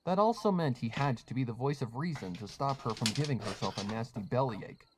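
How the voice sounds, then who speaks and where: A man narrates a written story in the third person. His voice sits at an ordinary middle pitch, and he delivers the prose as scripted storytelling. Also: expressive, a little fast, background noise. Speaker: fan fiction narrator.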